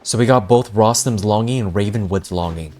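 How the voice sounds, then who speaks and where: A man's voice: on the low side, a little fast, darkish tone, faint music behind. A man streaming gameplay, reacting casually with slangy exclamations and muttered asides to his chat. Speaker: game streamer.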